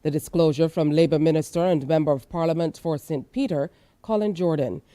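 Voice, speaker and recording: A woman with a Barbadian accent reads the news in a measured, clear anchor delivery. Her voice sits low and mellow, and the studio sound is dry and close. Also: dry recording. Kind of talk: scripted news reading.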